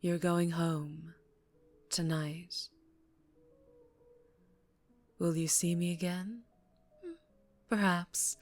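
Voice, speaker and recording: A woman playing a dangerously charming female mafia boss in an intimate ASMR roleplay, ruthless yet tender, speaking close and hushed with condescending affection. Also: fairly low pitch. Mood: teasing, warning. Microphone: close-up microphone.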